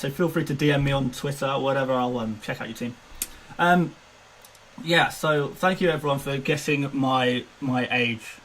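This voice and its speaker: A man's voice: fairly high pitch, fairly bright tone. A man in his twenties chatting casually on a live stream, self-mocking and laughing often. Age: 20s. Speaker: fantasy football streamer.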